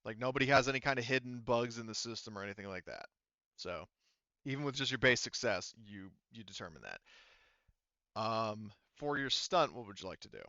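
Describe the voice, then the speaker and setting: A man running a tabletop roleplaying game as game master, explaining casually and often pausing to think aloud. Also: medium pitch, lively intonation, sharp tone, no echo, dull audio.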